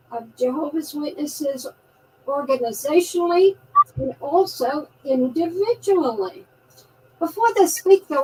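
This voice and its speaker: An older woman from New York with a New York accent, a guest activist talking in a folksy, chatty way with frequent restarts and fillers. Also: high voice. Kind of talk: live online talk show, casual monologue. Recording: distant mic with faint background noise.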